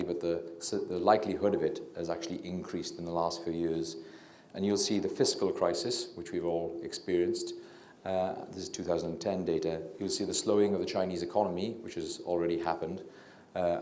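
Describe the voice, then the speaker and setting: A middle-aged Welsh man, a physician giving a public talk into a handheld mic, hesitant with many fillers and restarts, and a self-described low talker. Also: a little mellow, slight background noise. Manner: low-talking. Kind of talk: public lecture. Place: lecture room with audience. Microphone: handheld microphone. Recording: echoey lecture room.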